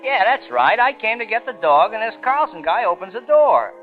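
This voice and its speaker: An actor playing a gossipy, cheeky young elevator operator with slangy chatty talk, in old-time radio drama style. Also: male voice, sits high, big pitch swings, normal speed. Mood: chatty, knowing. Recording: tinny old radio recording with music.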